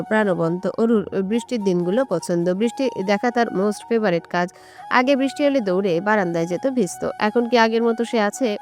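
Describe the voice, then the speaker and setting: A woman reading a romantic audio story as scripted narration. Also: mid-range voice. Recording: music bed under the voice. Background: music.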